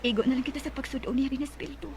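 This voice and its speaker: High-voiced, worried younger sister. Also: female voice, steady tone, racing, a crowd in the background. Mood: earnest. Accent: Filipino Bisaya. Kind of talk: Filipino radio drama acting. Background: music.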